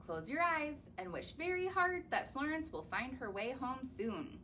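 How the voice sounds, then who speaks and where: A young woman reads picture books to little kids at a slow, unhurried pace. Her pitch swoops high and low as she coaxes them along with questions. Faint noise hums under the camera mic. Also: very animated, pushed and bright. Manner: sing-song, slow, coaxing, asks the children questions. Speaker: children's librarian. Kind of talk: reading picture books aloud to young children. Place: library or home, recorded on camera.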